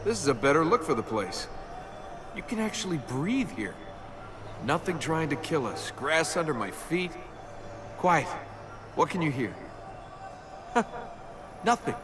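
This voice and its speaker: A man's voice: a little high, very animated, measured pace, pauses often. A man playing a cocky young adventurer and thief in a video game, sarcastic and teasing in conversational banter. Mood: relaxed. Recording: loud music behind the voice.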